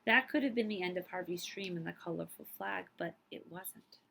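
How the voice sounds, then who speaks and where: A woman librarian reading a picture book aloud to children, with expressive, emphatic phrasing and casual asides. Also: fairly low pitch, a little fast, gravelly, slight background noise.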